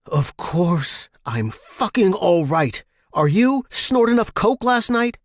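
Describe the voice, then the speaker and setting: A tense male office worker from an audio story, acted out by a male narrator. He is panicked, defensive and foul-mouthed, snapping in a raised pitch with clean, dry sound. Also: big pitch swings, slow, no room sound, full character voice. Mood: angry. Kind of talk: audio fiction character dialogue.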